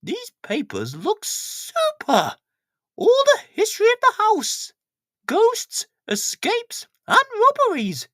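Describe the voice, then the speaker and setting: A woman voicing an excitable, gushing country village lady in a children's storybook. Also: extremely high pitch, takes it slowly, dry recording, studio quality, voice pushed up, full character voice. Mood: excited, gushing. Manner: gushing, theatrical.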